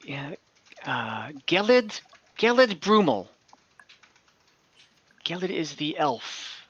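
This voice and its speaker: A game master improvising tabletop game lore, speaking haltingly and searching for words. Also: mid-range voice, big pitch swings, slightly rough, muffled recording. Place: online group call.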